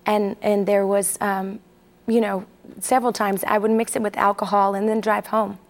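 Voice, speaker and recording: Woman in her forties from Texas, a TV interview guest; hesitant, candid storyteller, mid pitch. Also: little pitch movement, normal speed. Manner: candid, hesitant, with many fillers. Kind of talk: personal testimony in an interview.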